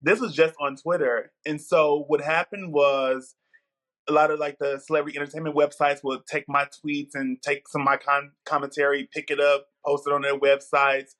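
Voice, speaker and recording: A man from the Midwest, in his thirties, telling his story as an interview guest in an outspoken, animated way. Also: a little high, moderate pace. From Milwaukee, Wisconsin. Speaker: guest, TV producer. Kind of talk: casual interview talk.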